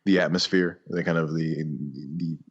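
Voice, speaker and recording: Deep-voiced man, halting casual talk. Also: level tone. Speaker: podcast co-host. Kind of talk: casual gaming chat. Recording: slightly dull, muffled audio.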